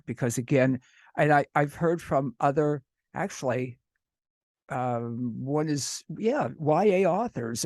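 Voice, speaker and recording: A man's voice: mid-range voice, darkish tone, no room sound, clean studio sound. A man hosting a radio show interview, effusive and rambling, often restarting his sentences.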